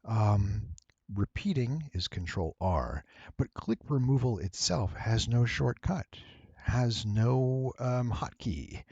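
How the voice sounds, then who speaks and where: A man with a slightly nasal voice gives a casual, playful software tutorial, explaining each step without hurry. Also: mid-range voice, animated, slow, creaky, husky, no room sound, highs cut off. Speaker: voice actor giving a software tutorial. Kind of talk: casual screen-recorded tutorial.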